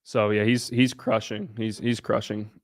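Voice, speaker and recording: A man's voice: on the low side, level tone, measured pace, no room sound. A young man from Southern Ohio hosting a casual livestream, answering viewers' questions in a brash, blunt way and swearing freely. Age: young adult. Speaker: livestream host.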